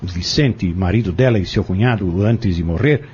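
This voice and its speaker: A man's voice: deep voice, moderate pace, a little mellow, dull audio, a little hiss or hum, lightly acted. An actor in an audio drama playing an insistent, scheming man who goads and persuades in formal wording, bent on exposing a corrupt family.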